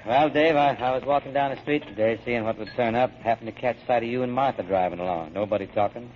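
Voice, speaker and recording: A man's voice: mid-range voice, fast, close to an everyday voice. An actor playing an easygoing, wry idler son who teases his family in a 1940s radio drama. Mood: easygoing, teasing. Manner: leisurely, wry, teasing. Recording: muffled, noisy old radio broadcast.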